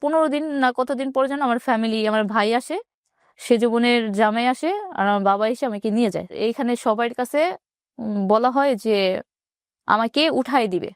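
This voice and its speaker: A woman's voice: fairly high pitch, moderate pace, no echo, clean studio sound. A woman in her twenties with a Bangladeshi accent, telling her life story plainly as an interview guest. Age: 20s.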